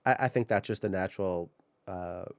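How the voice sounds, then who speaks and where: A man's voice: low-pitched, moderate pace, no room sound. A man hosting a podcast interview, speaking in a casual conversational style. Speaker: podcast host, political candidate.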